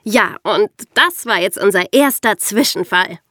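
A woman podcast co-host with an average-pitched voice and very lively intonation. She talks casually in discussion, recorded dry with no room sound. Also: a little slow, no echo.

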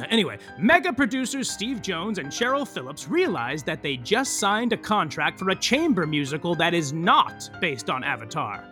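Comic serial narrator, played by a man: high-pitched, theatrical male, wry and self-aware, faint music underneath. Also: sing-song, normal speed, pushed and bright, exaggerated voice. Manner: theatrical announcer delivery with wry offhand asides. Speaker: narrator of a serial comedy podcast. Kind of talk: comic scripted narration addressed to listeners.

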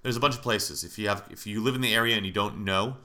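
An American man talks casually as a solo podcast host. His voice sits a bit low but has a bright, forward edge, with a clearly congested, nasal sound from allergies. He speaks informally, as if chatting straight to his listeners. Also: fast. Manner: informal, conversational, mildly profane. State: stuffed up with allergies.

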